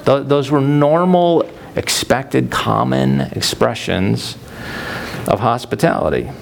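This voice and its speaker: A man's voice: medium pitch, takes it slowly, gravelly. A man preaching a sermon as pastor, explaining scripture in a conversational teaching manner with frequent fillers.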